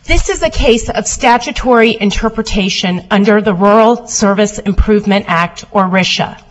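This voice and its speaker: A woman lawyer giving a formal oral argument before appeals judges, loud and steady as she answers questions. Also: mid-range voice, moderate pace, muffled recording. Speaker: appellate lawyer.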